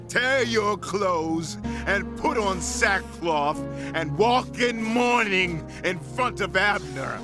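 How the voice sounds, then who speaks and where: A righteous king, really slow and solemn, audio drama, loud music behind him. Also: sits high, animated. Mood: commanding, mournful. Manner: slow, solemn, declamatory delivery. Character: grieving, righteous warrior king, fierce when wronged.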